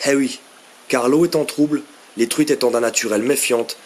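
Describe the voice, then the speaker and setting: A man's voice: mid-range voice, normal speed. A man in his thirties narrating his fishing video in a scripted voice-over, with a wry, self-mocking humour. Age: 30s. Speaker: fishing vlogger. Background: background music.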